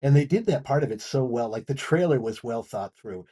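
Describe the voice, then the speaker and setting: A man giving an unscripted review as a guest on a talk show, in halting, often repeated phrases. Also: medium pitch, expressive, warm tone, breathy edge. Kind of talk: unscripted audio drama review.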